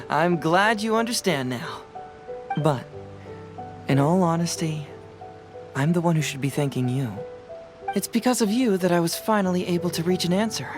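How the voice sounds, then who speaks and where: A man voicing a young, sharp-witted detective in a game, playful and self-assured with light laughs. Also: high-pitched, unhurried, pauses often, music in the background. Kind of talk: game voice acting.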